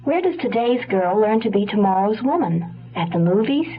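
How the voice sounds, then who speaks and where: A woman narrates a 1970s radio spot. Her mid-pitched voice reads from a script over clear music. The sound is phone-like and narrow. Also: sing-song, normal speed. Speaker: public service announcement narrator. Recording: tinny old radio broadcast.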